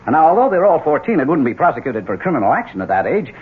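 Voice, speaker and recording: Through a thin radio sound comes an uneasy American gym teacher. His husky, fairly high voice swings up and down as he hesitates and apologizes politely. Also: big pitch swings, racing, phone-quality sound. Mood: apologetic, uneasy. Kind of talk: 1970s radio drama acting.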